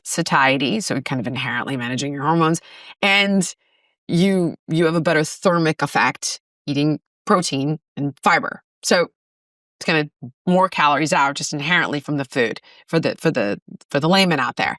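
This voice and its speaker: A woman's voice: sits low, normal speed, no room sound, clean studio sound, distant mic. A woman hosting an interview podcast, chatting casually and animatedly, cursing freely now and then. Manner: animated, lively, swears casually. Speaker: podcast host.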